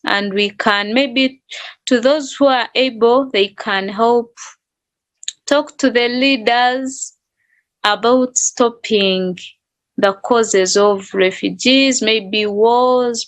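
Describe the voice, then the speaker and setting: A woman's voice: a little high, a bit bright. A woman speaking as a panelist, making an earnest appeal.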